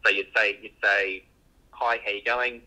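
A low-pitched man with a very sharp, bright edge comes through a walkie-talkie link. He explains things off the cuff, haltingly, repeating words as he goes. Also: steady tone, low-quality recording. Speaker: engineer being interviewed. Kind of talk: unscripted interview explanation.

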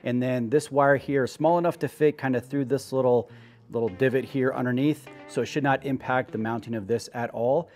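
A middle-aged man talks through a do-it-yourself build in a relaxed, explanatory way, clearly keen on the gear. His voice sits at an ordinary middle pitch, picked up close on a lapel mic in a wooden workshop. Soft music plays faintly underneath. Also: a little fast. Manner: casual, explanatory, enthusiastic about gear. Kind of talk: product build walkthrough. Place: open wooden workshop, indoors.

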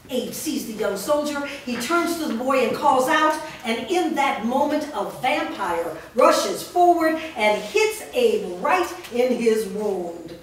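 A woman reads stage directions in a steady, clear voice, pitched a little high. She is recorded from a distant camera. The big studio room gives a long, hollow echo and a faint hum. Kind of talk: reading stage directions aloud. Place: large studio room with actors in a circle. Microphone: far camera. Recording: roomy echo from far off.

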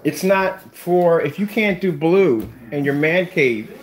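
A man's voice: on the high side, animated, takes it slowly. Philly guy in his 50s rambling to his viewers from his home theater room, off camera. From Philadelphia. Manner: rambling, repeats himself, talks straight to viewers. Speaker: screen paint vlogger. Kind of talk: casual chat to viewers while livestreaming.

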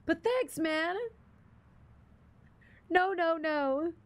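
A young woman with a husky voice, chatting casually with viewers on a game stream, rambling and restarting her sentences. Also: very high voice, drawn-out words. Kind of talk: casual live-stream chat reading viewer comments.

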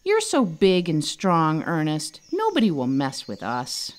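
A woman's voice: deep voice, wildly swooping pitch, unhurried, full character voice. A woman voicing a mischievous, eager little boy monkey in a storybook, exclaiming and wheedling. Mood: pleading. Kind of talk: storybook character voice.

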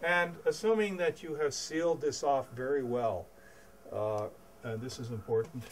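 A man's voice: medium pitch, normal speed, slight background noise. A middle-aged Canadian man, a home energy advisor, explaining repairs in a house basement in a practical, unpolished way with some hesitations. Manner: practical, hesitant with fillers, pointing things out. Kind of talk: on-site explanation.

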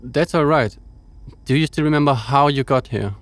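A man's voice: medium pitch, normal speed, no room sound, lightly acted. A man playing a concerned spaceship crewman in an audio drama, questioning and reassuring. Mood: calm, concerned.

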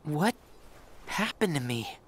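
A young man playing an earnest, brooding teenage boy in a video game, with a hesitant, soft-spoken, halting delivery. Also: a little high, big pitch swings, heavily acted. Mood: dazed, determined. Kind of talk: dubbed video game cutscene acting. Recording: loud music under the dialogue.

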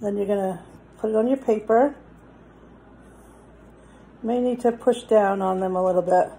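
A middle-aged woman giving children informal step-by-step craft instructions, plainly, pausing as she demonstrates. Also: medium pitch, warm tone. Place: indoors, dining table in a home.

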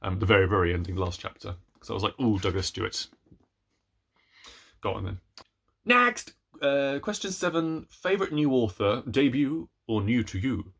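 Bright-voiced, low-pitched man, chatting. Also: moderate pace, creaky, breathy edge. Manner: animated, playful, rambling with self-deprecating asides. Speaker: book vlogger. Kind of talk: casual chat to camera, book review. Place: small room at home with bookshelves.

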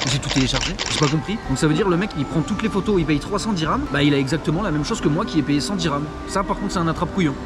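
A man's voice: fairly high pitch, racing, hardly any pauses, very noisy. A man, chatting casually and expressively to camera in slangy vlog style. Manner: slangy, expressive, animated storytelling. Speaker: vlogger. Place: large glass-roofed indoor park with palms. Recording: music behind the voice. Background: loud background music.

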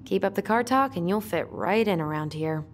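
A woman's voice: medium pitch, lively intonation, moderate pace, a little hiss or hum, lightly acted. An actress playing a guarded, wry young American woman in a video game, talking in a subdued, dry way. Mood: gentle, teasing. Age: young adult. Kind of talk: naturalistic video game dialogue.

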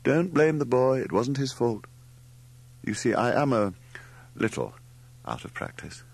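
A pompous middle-aged storybook gentleman, acted out by a male reader. He is timid and hesitant, with swooping pitch and a gravelly edge, and makes a show of his politeness. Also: sing-song, measured pace, full character voice. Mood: feebly gallant. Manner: hesitant, self-important, politely dramatic.

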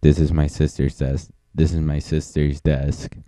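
A man's voice: rumbling low, little pitch movement, a little slow, dark tone, dry recording, studio quality. A man doing a goofy, improvised parody dub as an amateur voice performer, with crude joking and silly repeated nonsense. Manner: silly, repetitive nonsense ad-libbing.

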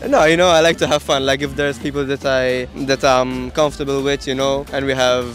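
Brief: man, twenties, from Malta, relaxed, laughs easily, beach, handheld mic, faint music. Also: mid-range voice, brisk. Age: 20s. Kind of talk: casual interview answers. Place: outdoors on a beach.

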